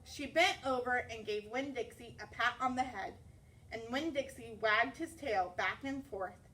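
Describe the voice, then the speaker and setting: A woman reading a children's story aloud as a teacher, expressive and deliberate. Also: on the high side, far from the mic, slight background noise, rough recording.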